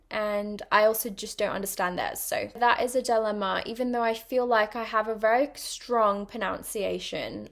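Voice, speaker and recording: A woman's voice: mid-range voice, vocal fry, a bit bright. A young woman with a partly British accent, chatting casually on her own podcast with plenty of ums and likes. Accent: mixed British and international. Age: young adult. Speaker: podcast host. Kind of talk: casual personal monologue.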